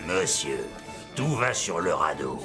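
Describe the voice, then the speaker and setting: A man voicing an earnest cartoon lake boatman, deliberate and admonishing. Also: low voice, very animated, exaggerated voice. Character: slow, earnest lake boatman correcting a passenger. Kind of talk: French cartoon voice acting. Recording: music behind the voice.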